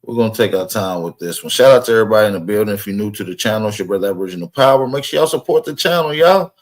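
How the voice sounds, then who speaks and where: A man's voice: on the low side, expressive, racing. A man hosting a reaction livestream, talking straight to viewers in a casual, hyped way. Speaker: host of a reaction channel.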